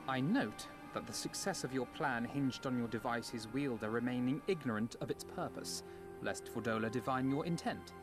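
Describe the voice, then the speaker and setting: A man's voice: medium pitch, normal speed, music in the background, lightly acted. An actor playing a polite, learned young nobleman in a video game, articulate and formal. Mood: earnest. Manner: formal, articulate, courteous phrasing. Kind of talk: video game dialogue acting.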